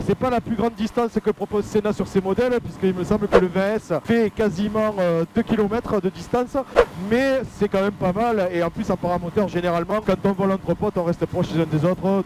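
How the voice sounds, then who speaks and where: A man with a high-pitched voice presents a casual review in a chatty, joking way. He explains things as he goes, speaking into a helmet headset mic with faint motor noise behind him. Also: fairly quick, few pauses. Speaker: paramotor pilot vlogger and presenter. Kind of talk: casual product review spoken while flying. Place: outdoors, flying a paramotor. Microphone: helmet intercom headset microphone with noise reduction. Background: motor noise, muffled.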